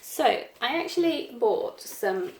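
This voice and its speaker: A middle-aged woman with a croaky cold voice, chatting casually and apologetically at her craft desk, with lots of ums. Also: sits high, big pitch swings, takes it slowly, a little mellow, a little hiss or hum, poor audio. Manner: rambling, apologetic, frequent ums. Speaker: planner vlogger. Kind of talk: casual chat over crafting. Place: home craft desk, indoors.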